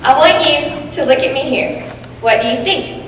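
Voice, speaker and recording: A woman's voice: sits high, normal speed. A young woman, a student, reciting a poetic monologue on a stage. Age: teens to young adult. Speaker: student performer. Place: school auditorium stage. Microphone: stand mic, heard from distant camera. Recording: echoey hall, distant, faint noise.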